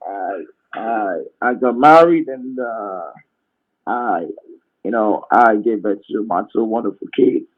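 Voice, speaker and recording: A man on a voice call, talking hesitantly with many fillers and soft trailing endings. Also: on the low side, takes it slowly. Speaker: scammer on a voice call. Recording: tinny phone line.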